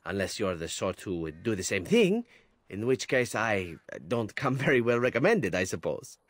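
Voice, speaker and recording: Suave male elf assassin, low animated husky voice, wry game character. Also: normal speed, no echo. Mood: earnest, wry. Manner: smooth-talking, playful, formal phrasing.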